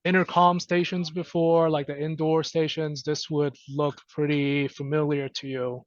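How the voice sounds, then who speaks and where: A man giving an informal, halting technical walkthrough as a trainer, conversational and often checking in with listeners. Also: fairly high pitch, little pitch movement, no echo, muffled recording. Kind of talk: informal screen-share software walkthrough.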